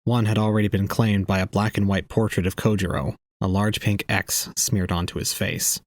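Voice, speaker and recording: A man's voice: lowish voice, flat delivery, normal speed, no echo, clean studio sound, lightly acted. A man playing an aloof young man, narrating an audio drama in a formal, detached, cold way. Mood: brooding, detached.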